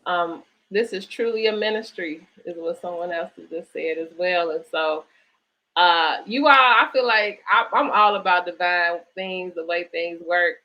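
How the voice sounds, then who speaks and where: A woman with a slightly low voice hosts a live online interview. She talks conversationally and in an affirming, encouraging manner. The sound is a little dull and lo-fi, like a livestream. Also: measured pace. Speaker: host interviewing an author.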